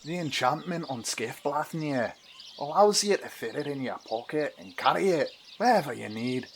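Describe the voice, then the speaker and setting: Gruff, gravelly Norse warrior type played by a man, animated and theatrical, for a homemade myth audio drama. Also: on the high side, normal speed, a little hiss or hum. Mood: proud. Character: gruff male Norse mythic warrior and dwarf. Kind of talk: amateur fantasy audio drama acting.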